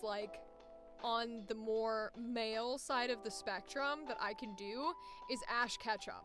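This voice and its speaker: A young woman with a high-pitched voice, chatting casually about voice acting on a live stream and now and then trying out squeaky character voices. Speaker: voice actor streaming.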